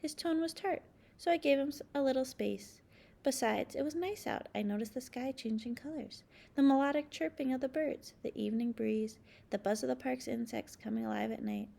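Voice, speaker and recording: A woman reading a children's storybook aloud as narrator, with expressive, dramatic emphasis. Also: high-pitched, fairly quick, gravelly. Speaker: storybook reader.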